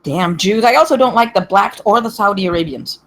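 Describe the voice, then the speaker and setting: A woman's voice: mid-range voice, lively intonation, brisk, a bit bright. A woman playing a blunt, grumbling, bigoted old grandmother landlady in a comedy table read. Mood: cranky, indignant.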